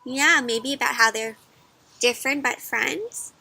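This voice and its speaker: A woman reading a picture book aloud to children, expressively, pausing to ask the listeners questions. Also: sits high, gravelly, bright, forceful tone. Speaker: read-aloud storyteller for children.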